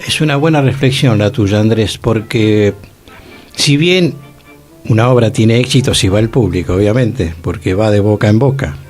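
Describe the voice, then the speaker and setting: Earnest Argentine man over soft music. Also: lowish voice, moderate pace. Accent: Argentine, Buenos Aires. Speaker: radio host. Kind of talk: conversational radio discussion.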